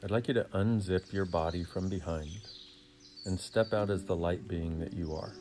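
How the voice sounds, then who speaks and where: A man leading a guided meditation in a soothing, deliberate way, with short phrases and long pauses. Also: deep voice, darkish tone, slight background noise.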